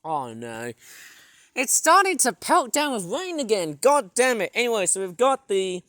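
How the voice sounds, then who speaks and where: A vlogger with a hoarse, sore throat, narrating a casual outdoor tour of a backyard garden in a rambling, excitable way, filming on a tablet. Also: mid-range voice, unhurried, no room sound, clean studio sound. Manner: rambling, filler-heavy, excitable.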